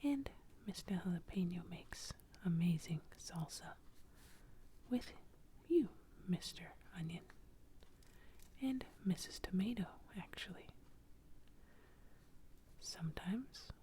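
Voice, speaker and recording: A woman's voice: lowish voice, animated, slow, pauses often, mellow, a little hiss or hum. A woman whispering the part of a kindly, motherly asparagus in a made-up vegetable story. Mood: reassuring. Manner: whispered, reassuring.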